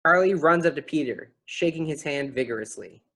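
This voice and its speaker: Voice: mid-range voice, animated, fairly quick, bright, forceful tone. A narrator reading out a play's stage directions as scripted narration.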